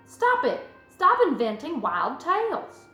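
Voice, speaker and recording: Lady doing strict concerned mom in a kids storybook, measured but swoopy, bit of echo. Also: on the high side, sing-song, fairly bright tone. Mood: stern, reproving. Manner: firm, measured, reproving. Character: stern, worried, sensible farm mother. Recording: roomy echo, music underneath.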